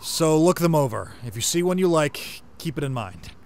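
A man playing a practical survivor group leader in a comic dub, speaking in a measured, instructive way. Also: on the high side, very animated, a little hiss or hum. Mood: commanding. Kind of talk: amateur comic-dub voice acting.

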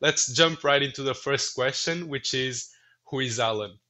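A man's voice: mid-range voice, normal speed, sharp tone. A young man hosting a podcast over a video call from a room at home, eager and informal. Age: young adult. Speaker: podcast host. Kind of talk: casual interview hosting. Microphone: video call earbuds.

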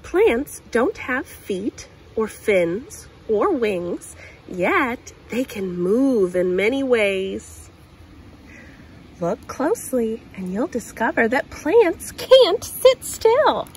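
A woman in her thirties hosting a children's story time, reading a picture book aloud outdoors with expressive, deliberate emphasis on words. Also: on the high side, poor audio. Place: outdoors on grass. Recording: clear outdoor ambient noise.

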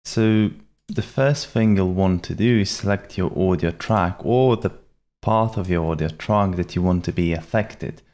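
Low-pitched young man, slow tutorial delivery. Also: darkish tone. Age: young adult. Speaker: tutorial presenter. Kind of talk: step-by-step software tutorial narration. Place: small indoor room at a computer.

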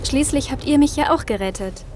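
An actress plays a plucky, matter-of-fact survivor in a zombie radio play. Her voice is slightly high and sits over clear background ambience. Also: fairly quick, background noise, close to an everyday voice. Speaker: voice actor. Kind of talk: audio drama acting.

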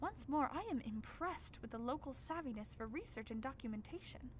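A grave scholar, played by a woman, recounts horrors in a radio drama. The voice sits fairly high, formal and measured with an ominous weight, over faint background noise. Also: animated. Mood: ominous dread. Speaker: actor narrating. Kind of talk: radio drama narration as a written record.

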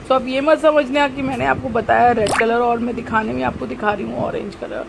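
A woman's voice: a little high, fairly even intonation, brisk, creaky. A young woman with an Indian accent and a cold and sore throat, casually explaining a recipe as host of a cooking channel, switching between Hindi and English. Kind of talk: casual recipe demonstration voice-over. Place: home kitchen. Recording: home video with noticeable background noise.

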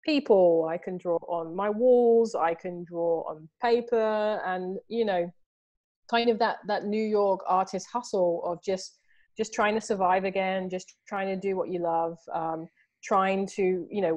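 A woman in her thirties, chatting as a guest on a video call from a room at home. Her voice sits a little low for a woman, and she talks in a loose, animated way, leaning on "you know" and "like" as she goes. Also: dull audio. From Southeast London, England. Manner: animated, gestures a lot, many fillers like "you know". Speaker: artist guest. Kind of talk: casual interview over video call. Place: home room with books and artwork behind. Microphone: video call, earphones.

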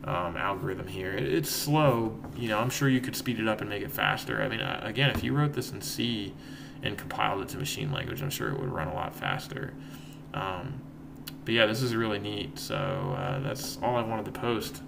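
A young man with a raspy voice, casually walking through code in a home screen-share tutorial, rambling and correcting himself, with guitar notes behind him. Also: fairly low pitch, normal speed, noisy background. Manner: rambling, self-correcting, relaxed. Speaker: coding tutorial host. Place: home room, indoors. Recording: guitar playing in background. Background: guitar plucks.

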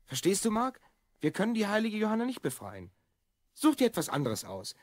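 Bossy young boy from the future, swoopy pitch, dry sound, kids audio drama. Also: huge pitch swings, a little fast, darkish tone, no room sound. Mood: earnest. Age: child figure, twelve. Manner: earnest, explaining, bossy. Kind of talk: German children's audio drama acting.

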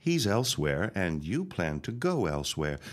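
An actor playing a menacing, self-righteous reverend in a period audio drama, grandiose and taunting. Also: male voice, low-pitched, big pitch swings. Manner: slow, grandiose, preacherly threatening.

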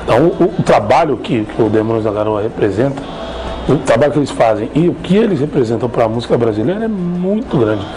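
A musician speaks about the band with emphatic admiration, correcting himself for force. His voice is dark and mellow, and faint ambient noise hangs behind him. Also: medium pitch, animated, quick pace, poor audio. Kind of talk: documentary interview.

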